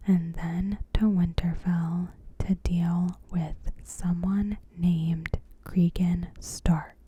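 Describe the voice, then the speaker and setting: A woman ASMR host giving commentary in a hushed, whispery, breathy voice, in measured short phrases. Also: fairly low pitch. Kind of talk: ASMR, softly spoken TV episode commentary.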